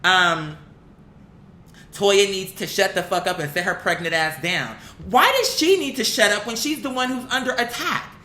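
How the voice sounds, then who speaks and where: Woman, sharp bright voice, roomy echo, casual online rant, profane, indignant, emphatic repetition. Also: medium pitch, animated, moderate pace. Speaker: online commentator reacting to viewer comments. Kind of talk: casual opinionated rant, reading comments aloud. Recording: echoey, roomy sound.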